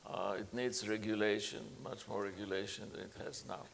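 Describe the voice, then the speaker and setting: A man speaking on a conference panel as a professor, halting often with fillers and restarts. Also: fairly low pitch, level tone, gravelly, fairly bright tone, a little hiss or hum.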